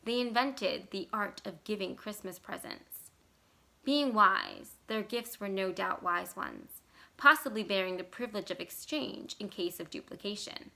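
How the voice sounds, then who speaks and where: A woman reading a short story aloud as scripted narration, with expressive, deliberate and dramatic phrasing. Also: medium pitch, vocal fry, low-quality recording. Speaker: library staff storyteller.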